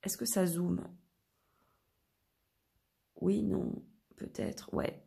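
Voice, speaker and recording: A woman with a head cold chats her way through a tarot reading, nasal and congested. Her hoarse voice is gravelly and full of vocal fry, with a husky, rough edge. She rambles along in a relaxed way and often trails off into fillers. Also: on the low side, very slow. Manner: rambling, relaxed, many fillers and self-corrections. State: stuffy nose from a cold; hoarse with a sore throat. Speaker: tarot card reader. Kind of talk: casual chatty tarot reading to camera.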